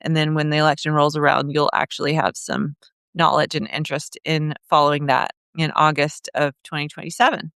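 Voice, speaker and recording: A woman's voice: low voice, level tone, a little fast, a bit bright, clean tone, dry recording, clean studio sound. A woman hosting a live video, talking casually with many fillers and restarts. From Kenya. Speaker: host of a live video, trip leader. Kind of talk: casual live-stream talk.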